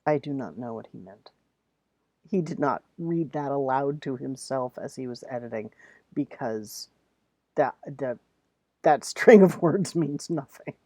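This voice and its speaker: A woman talks to students in a low, mellow voice. Her delivery is informal and conversational, with deliberate emphasis on key words. The sound is dry, with almost no room in it. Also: expressive, no room sound. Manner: emphatic, reads examples aloud deliberately, then comments plainly. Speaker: writing teacher. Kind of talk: casual instructional talk to students.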